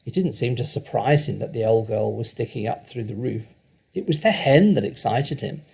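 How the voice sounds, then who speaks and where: Male storybook narrator, deliberate and expressive, with a gravelly, raspy voice. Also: mid-range voice, rough recording. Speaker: storybook reader.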